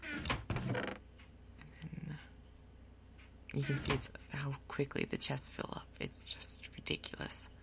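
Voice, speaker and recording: A woman speaks in a low register with very heavy vocal fry, often slipping into a whisper. She gives soft ASMR commentary on a game as its host. Her delivery is casual and unhurried, rambling gently along. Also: sing-song. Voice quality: breathy, half-whispered. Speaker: gaming video host.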